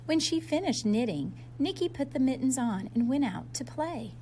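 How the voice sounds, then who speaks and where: A woman's voice: high voice, very animated, moderate pace, warm tone, slight background noise, rough recording. A woman reading a picture book aloud like a teacher, expressively and with simple asides to young children.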